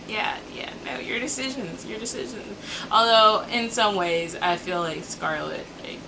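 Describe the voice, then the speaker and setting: A woman giving a casual, chatty book review in informal, slangy phrasing, with exasperated asides and drawn-out emphasis. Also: medium pitch. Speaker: book vlogger. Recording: noticeable background noise.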